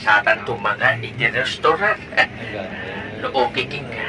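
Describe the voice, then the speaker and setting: An actor playing an eager, teasing middle-aged suitor who stammers and wheedles, in a comedy radio drama. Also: male voice, on the low side, lively intonation, measured pace, music in the background. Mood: wheedling.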